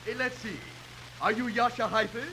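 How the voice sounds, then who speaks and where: A man's voice: extremely high pitch, normal speed, sharp tone. A man playing a cranky, wisecracking music store clerk who sarcastically needles his customer in 1950s radio comedy style. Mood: mock-friendly teasing. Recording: noisy old radio broadcast recording.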